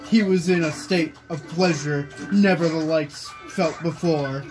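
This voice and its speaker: A man's voice: fairly high pitch, unhurried, low-quality recording. A young man reading fan fiction aloud to friends in an amused manner, recorded in a bedroom. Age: young adult. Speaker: reader, friend of the video maker. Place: small bedroom, indoors. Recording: room recording with loud background music. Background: music playing in the room.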